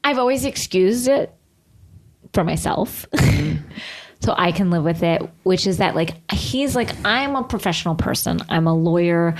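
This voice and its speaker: A woman's voice: on the low side, normal speed. A woman co-hosting a podcast, chatting casually and comedically about reality TV with a sarcastic manner and mocking little imitations. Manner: animated, sarcastic, swears and mimics others. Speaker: podcast co-host.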